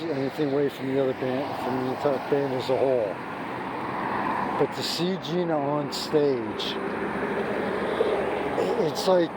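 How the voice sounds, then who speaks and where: A hoarse middle-aged man giving an emphatic, gushing music review, recorded outdoors on a city street at night, restarting his sentences often. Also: medium pitch. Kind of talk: off-the-cuff video review. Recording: street noise and traffic behind.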